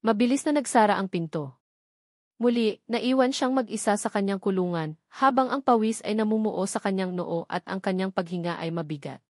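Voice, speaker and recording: A woman reading a romantic drama aloud as its narrator, earnest and measured. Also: mid-range voice, darkish tone, dry recording, studio quality. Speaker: storyteller.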